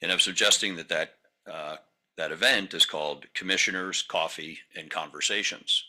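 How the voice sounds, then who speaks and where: A man with a very deep voice and a sharp, bright tone addresses county commissioners. He speaks politely and steadily, with some fillers along the way. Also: moderate pace. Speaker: public commenter. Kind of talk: public comment at a county meeting.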